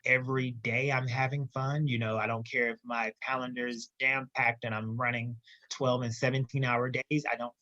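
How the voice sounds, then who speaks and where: A man's voice: mid-range voice, little pitch movement, moderate pace, hardly any pauses, pushed and bright, dry recording. Male guest, emphatic storyteller, muffled lo-fi call audio, dry sound. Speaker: guest entrepreneur. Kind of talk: casual interview storytelling.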